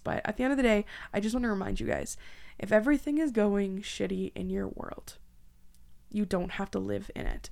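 Creaky-voiced young woman hosting a podcast. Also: mid-range voice, a little mellow. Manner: effusive, informal. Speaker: podcast host. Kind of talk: casual chatty podcast talk.

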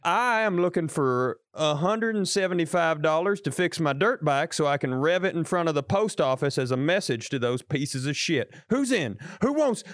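A man's voice: fairly high pitch, lively intonation, moderate pace, hardly any pauses, no room sound, clean studio sound, close to an everyday voice. A man voicing a hotheaded dirt-bike-riding redneck who rants and gets worked up. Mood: ranting. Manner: ranting and worked up.

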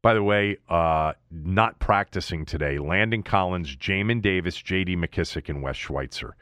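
A man hosting a sports podcast, giving news and analysis in a halting, self-correcting manner. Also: deep voice, fairly bright tone, dry recording.

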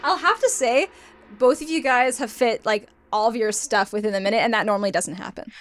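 Upbeat lady hosting a dating game, high voice, laughs a lot. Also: a little fast, noisy background. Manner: upbeat, often laughing while talking. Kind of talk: casual hosting chat.

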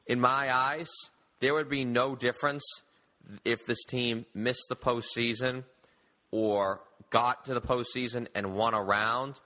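A man's voice: medium pitch, fairly even intonation, unhurried, bright, forceful tone. A man hosting a conversational sports discussion and arguing his own opinions. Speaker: sports podcast host.

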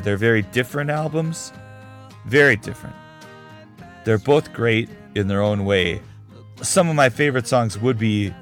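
Slow-talking male podcast host, losing voice. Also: mid-range voice. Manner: frank, conversational opinions. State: losing his voice. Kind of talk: casual solo commentary.

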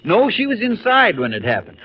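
An American actor with a Texan drawl playing a folksy, plain-spoken small-town sheriff in a 1950s radio western. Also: male voice, sits high, huge pitch swings, brisk. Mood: worried, explaining. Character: folksy, dutiful small-town Texas sheriff, grown man. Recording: dull, muffled 1950s radio recording.

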